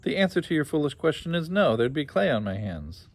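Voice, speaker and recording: A man's voice: fairly high pitch, very animated, brisk, dry recording, pitched above the performer's own voice. Sly male swindler, acted by a man reading, storybook tale, declamatory, accusing, self-righteous. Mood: dismissive.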